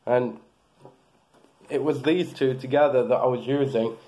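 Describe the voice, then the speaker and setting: A man's voice: mid-range voice, fairly even intonation, measured pace, warm tone, low-quality recording. A man in his thirties casually reviewing beard products, rambling with lots of fillers and restarts, filmed close in a small room.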